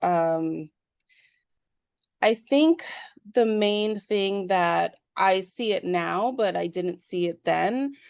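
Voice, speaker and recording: A woman from New York with a smooth, clear voice tells a personal story as a podcast guest. She goes slowly and haltingly, thinking as she speaks, in a close, dry sound. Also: medium pitch, lively intonation, a little mellow, dry recording. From New York, USA, born in Peru.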